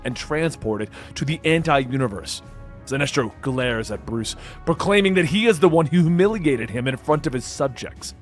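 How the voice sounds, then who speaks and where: A man's voice: mid-range voice, expressive, moderate pace. A man reading a comic book story as narrator, in a dramatic scripted style. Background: background music.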